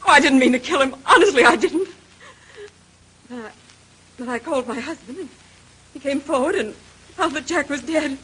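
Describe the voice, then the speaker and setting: An actress playing a distraught wife confessing in a 1930s radio drama, with a halting, pleading, melodramatic delivery. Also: female voice, sits high, a bit bright. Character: distraught young wife confessing to an accidental killing. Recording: noisy vintage radio recording.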